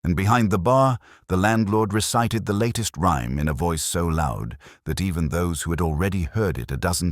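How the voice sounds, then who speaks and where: Mystery narrator: male, middle-aged, extremely low voice, measured literary delivery with wide melodic movement. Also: no room sound, clean studio sound. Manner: measured, literary, suspenseful storytelling. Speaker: audiobook narrator. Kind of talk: scripted dramatic mystery narration.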